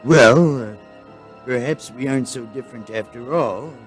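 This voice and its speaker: An actor playing a mild, world-weary alien chancellor with a formal, hesitant, stagey manner in a comic radio play. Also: male voice, mid-range voice, normal speed, faint music behind, close to an everyday voice. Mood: conciliatory.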